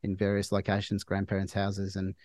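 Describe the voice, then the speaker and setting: A man's voice: low voice, monotone, a little mellow, no echo. Thoughtful Australian man, dry sound. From Melbourne, Australia. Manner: measured, thoughtful, with many restarts. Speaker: guest, hospital doctor. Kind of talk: casual interview chat.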